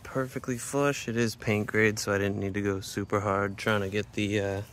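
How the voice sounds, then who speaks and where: A man casually talking through a carpentry build as he works, chatty and relaxed. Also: fairly low pitch, moderate pace, slight background noise. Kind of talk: casual build vlog narration. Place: workshop and home interior.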